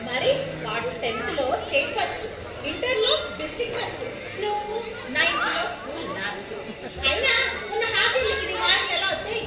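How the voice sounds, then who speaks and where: A woman's voice: very high voice, sing-song, brisk. A woman playing a scolding, sarcastic mother who dotes on her elder son in a stage play. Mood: nagging, sarcastic. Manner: nagging, emphatic, sarcastic. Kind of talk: amateur Telugu stage play acting. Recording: distant echoey hall, music and crowd.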